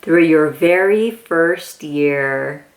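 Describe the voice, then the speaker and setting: A woman reading a picture book aloud to young children as a host, with excited, encouraging exclamations. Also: low-pitched, very slow, slight background noise.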